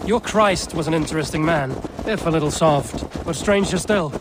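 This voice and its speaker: An actor playing a stern, wry Viking warrior in a video game, blunt and commanding. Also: male voice, on the high side, moderate pace, noisy background, lightly acted. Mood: calm, wry. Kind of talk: video game character acting.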